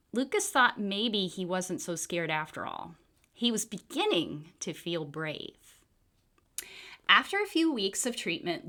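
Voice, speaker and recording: Woman reading a children's book, lively intonation. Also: on the low side. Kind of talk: expressive storybook read-aloud for children.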